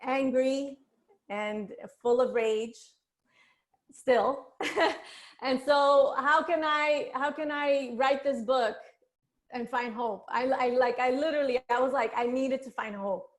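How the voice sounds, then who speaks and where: A woman's voice: high voice, slow. A woman speaking as an author on a panel, reflecting on her work in loose, informal conversation.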